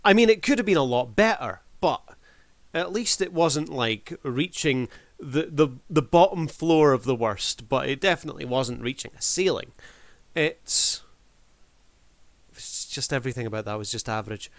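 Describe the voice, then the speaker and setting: A man presents a casual review as host, talking straight to his audience like a showman. His voice sits at a medium pitch, and the recording is dry and close, with little room sound. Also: animated, normal speed, no room sound. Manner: showman-like, addresses audience formally.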